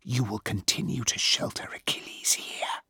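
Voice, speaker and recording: A man voicing a cold, menacing sea goddess mother in an audiobook, with a breathy, imperious whisper. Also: mid-range voice, moderate pace, sharp tone, no echo, clean studio sound, pitched above the performer's own voice, full character voice. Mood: commanding. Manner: imperious, threatening.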